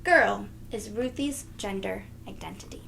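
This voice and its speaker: Woman doing a kids read-aloud, slow n sing-song, bit of creak in the voice, faint noise behind. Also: medium pitch, fairly bright tone. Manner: deliberate, teacherly, sing-song phrasing for young children. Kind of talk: children's picture book read-aloud.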